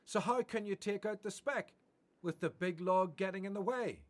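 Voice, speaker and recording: An actor playing a calm, authoritative male teacher preaching in a radio play, measured and assured. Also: high-pitched, natural voice. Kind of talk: radio play acting, sermon delivery.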